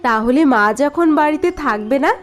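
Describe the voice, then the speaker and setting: A woman voicing a soft, dutiful young bride. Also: high voice, lively intonation, normal speed. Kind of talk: cartoon voice acting.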